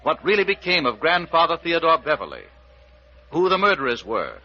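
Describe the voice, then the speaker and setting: A man's voice: a little high, huge pitch swings, a little fast, faint music behind. A man announcing an old radio serial in a dramatic, scripted, declamatory style. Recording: dull, faintly noisy old radio recording.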